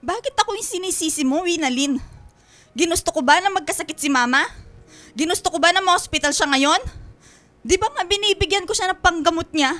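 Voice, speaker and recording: A woman's voice: very high-pitched, fairly quick, sharp tone, faint music behind. A woman playing a worried, reproachful adult daughter in a Filipino radio drama, pleading and accusing with open emotion. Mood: frustrated, defensive.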